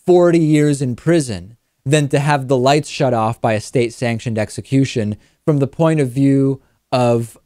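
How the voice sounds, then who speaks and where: A man's voice: medium pitch, dark tone, no echo. Male talk host, close dry sound. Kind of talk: conversational political talk, reading listener questions.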